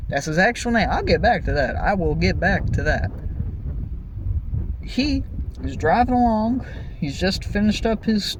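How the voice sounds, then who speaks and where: A man's voice: sits high, unhurried, pauses often, background noise. A man giving an exasperated, profane, comedic movie review in a conversational storytelling way, with exaggerated emphasis. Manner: exaggerated, animated emphasis with repeated phrases. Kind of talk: ranting, comedic film review commentary.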